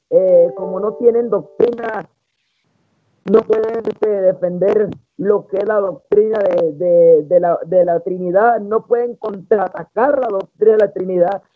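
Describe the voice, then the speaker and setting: A man gives an informal theological talk as a preacher, halting and repeating phrases, over a tinny live stream. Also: high-pitched, no echo. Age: 20s. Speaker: preacher hosting a live church broadcast.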